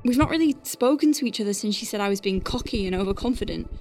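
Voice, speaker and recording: A woman's voice: medium pitch, lively intonation, quick pace, darkish tone, natural voice. Woman acting an anxious, wry space medic. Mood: wry, nervous. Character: female space-whale medic piloting a craft, anxious but wry. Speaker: ship's medic and pilot. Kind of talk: science fiction audio drama acting, conversational.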